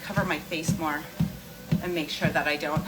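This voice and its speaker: Emphatic woman chatting over loud music. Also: deep voice, fairly even intonation, normal speed, rough recording. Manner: emphatic, repeats key points, uses rhetorical questions. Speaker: skincare and wellness livestream host. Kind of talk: casual explanatory livestream chat, answering viewer questions.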